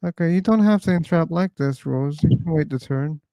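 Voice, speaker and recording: Male stream host, gravelly and mellow, pushes guests with pointed questions, dry sound. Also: on the high side, a little fast. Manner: probing, teasing questioner. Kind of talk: casual debate chat. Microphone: streaming setup.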